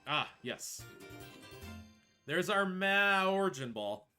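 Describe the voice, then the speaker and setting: A man giving casual live commentary as he plays a video game, excitable and joking. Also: sits high, slow pace, faint music behind. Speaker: speedrunner playing and commentating.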